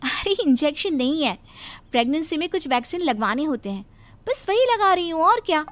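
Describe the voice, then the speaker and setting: A woman plays a self-assured young nurse in a cartoon moral story. Her voice is high-pitched, and she sounds breezy, insistent and quick to brush off advice. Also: very animated. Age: young adult. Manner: dismissive, confident, insistent.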